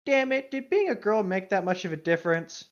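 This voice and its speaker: A woman's voice: medium pitch, expressive, a little fast, muffled recording. A woman co-hosting a casual comedic fan fiction reading, teasing and swearing sarcastically.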